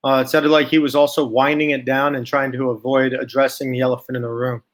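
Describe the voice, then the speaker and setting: A man's voice: medium pitch, flat delivery, fast. A man giving informal solo stock market commentary, thinking aloud from notes in a blunt, skeptical, opinionated way.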